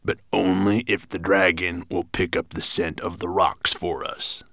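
A man's voice: sits low, flat delivery, unhurried, vocal fry, fairly bright tone, dry recording, full character voice. A man voicing a secretive, self-important, grumbling little mountain dwarf in a children's storybook. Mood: greedy, important.

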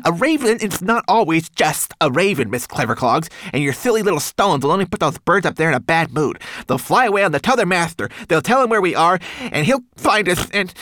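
A man voicing a tiny, excitable homunculus in an audiobook, anxious and snippy, with a shrill, strained voice. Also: measured pace, no room sound, studio quality. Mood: desperate. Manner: agitated, stammering, pleading. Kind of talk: audiobook character voice.

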